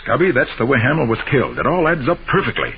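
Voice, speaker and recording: An actor playing a shrewd, confident master detective with an assured, emphatic delivery in an old-time radio drama. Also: male voice, mid-range voice, wildly swooping pitch, fast talker. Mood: confident, explaining. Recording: noisy, muffled old radio broadcast.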